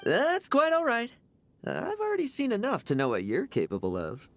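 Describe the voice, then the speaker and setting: A woman's voice: fairly high pitch, wildly swooping pitch, moderate pace, soft background music. A woman playing a curious, courteous young character in a video game, musing and easygoing. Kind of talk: scripted video game voice acting.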